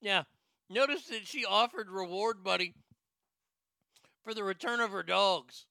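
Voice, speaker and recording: A man's voice: sits high, measured pace, vocal fry, bright, forceful tone, smooth, dry recording. A man giving comedic news commentary, sarcastic and profane, who often breaks into laughter. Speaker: commentary host.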